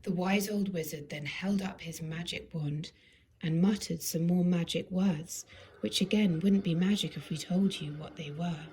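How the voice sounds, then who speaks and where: A woman narrating a children's storybook in an expressive, deliberate storytelling manner. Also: fairly low pitch, a little hiss or hum, rough recording. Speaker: storybook reader.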